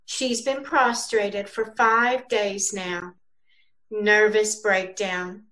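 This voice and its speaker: A woman playing a solemn, polite lady on the telephone in an old-time radio drama, measured and formal. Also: mid-range voice, steady tone, pushed and bright, low-quality recording.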